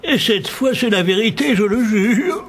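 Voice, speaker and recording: A man playing a wily old royal servant in an animated fairy tale, complaining and groaning with a sardonic streak. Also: sits high, slight background noise, full character voice. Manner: slow, groaning, sly.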